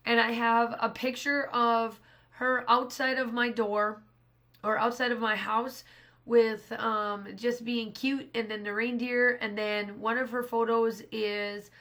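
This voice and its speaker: Hoarse woman, thirties, rambling crafting voice-over, slightly high pitch. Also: level tone, slow pace, fairly bright tone. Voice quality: rambling, restarts often. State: hoarse, scratchy voice. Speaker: scrapbooking channel host. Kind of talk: casual voice-over narration of a craft process.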